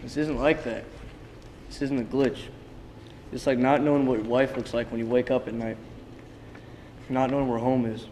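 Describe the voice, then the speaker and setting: A man's voice: mid-range voice, fairly even intonation, normal speed, long pauses, dark tone, lightly acted. Man acting anxious, confused man, echoing hall. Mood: distressed. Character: Jeremy, frightened, confused man losing his memory. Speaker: drama student actor. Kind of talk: dramatic reading of a stage play. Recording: reverberant hall with background noise.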